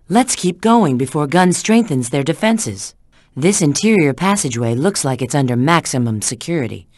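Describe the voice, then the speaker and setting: A woman's voice: low voice, a little mellow, no echo, studio quality. An actress playing a sly, confident female spy in a video game, giving scripted hints in a cool, assured, instructive way.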